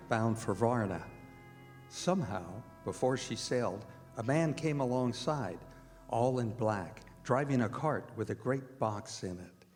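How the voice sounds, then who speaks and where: A man playing an earnest diarist who narrates a staged radio drama in a measured, grave way. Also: mid-range voice, a little mellow, lightly acted. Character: earnest male diarist reporting on a vampire hunt. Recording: reverberant hall, music behind.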